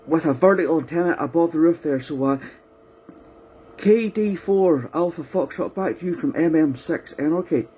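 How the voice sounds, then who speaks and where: A man speaks with a mellow, slightly husky voice. He works through a ham radio exchange steadily, spelling out call signs letter by letter and repeating them for clarity. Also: a little high. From County Antrim, Northern Ireland.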